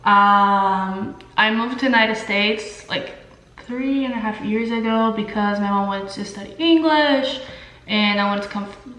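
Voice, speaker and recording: A woman's voice: mid-range voice, a bit bright, echoey room, slight background noise, poor audio. A young woman with a Brazilian accent, a native Portuguese speaker, chatting casually to camera in an informal, candid, slangy way as a beauty vlogger. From São Paulo, Brazil. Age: young adult. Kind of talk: casual chat to camera while doing makeup.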